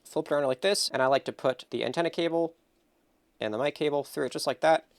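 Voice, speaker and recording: A man's voice: on the high side, fast talker, dry recording. A man with a slightly congested, nasal voice talks through a hands-on build in a casual, upbeat tutorial style. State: nasal from feeling under the weather. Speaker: host of a console-building tutorial channel.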